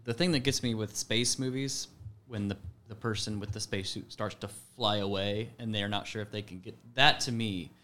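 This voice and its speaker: A man chats informally in conversation. His voice sits a little on the low side. The delivery is everyday and unscripted. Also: fairly even intonation, moderate pace, bright, forceful tone, slight background noise. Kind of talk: casual chat.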